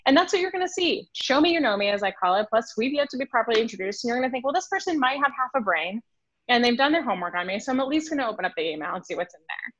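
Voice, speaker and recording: A woman in her thirties, from Switzerland with French as her first language, talking quickly and animatedly as a guest on a podcast interview over a video call. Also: on the high side, no echo. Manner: animated, quick, gestures with hands, acts out emails. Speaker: sales consultant guest. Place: home room on video call. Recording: dull, dry video call audio.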